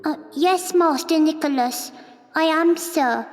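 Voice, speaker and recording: A woman's voice: high voice, takes it slowly. A woman playing a timid young workhouse boy in a radio drama, hesitant and stammering. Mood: nervous, polite. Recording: echoey room, music behind.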